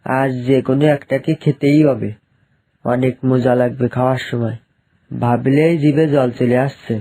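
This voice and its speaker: A man's voice: medium pitch, fairly even intonation, normal speed, mellow, lightly acted, low-quality recording. A man voicing a greedy, bloodthirsty hyena in a children's cartoon. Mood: gloating. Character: greedy, bloodthirsty male hyena who hunts young animals.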